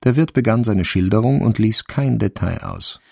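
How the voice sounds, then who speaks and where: A man reading scripted narration for a radio crime drama in a husky voice. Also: fairly low pitch, normal speed, a little mellow, dry recording, clean studio sound.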